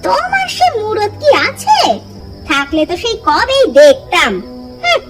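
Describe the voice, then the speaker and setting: A woman voicing a sharp-tongued young village wife who scolds and mocks her husband. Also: very high voice, wildly swooping pitch, measured pace, heavily acted. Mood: scolding. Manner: scolding, sarcastic. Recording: cartoon music under the voice.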